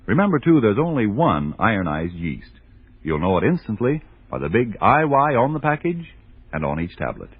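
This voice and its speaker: A man's voice: fairly low pitch, sing-song, normal speed, breathy edge, no echo. An American man announcing in emphatic 1940s radio sponsor style. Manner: emphatic sales delivery. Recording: tinny 1940s radio recording.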